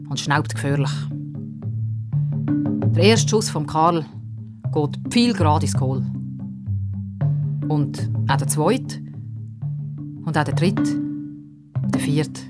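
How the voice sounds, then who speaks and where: Low-pitched, animated Swiss woman storyteller. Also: slow pace, pauses often, over loud music. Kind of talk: expressive children's story narration.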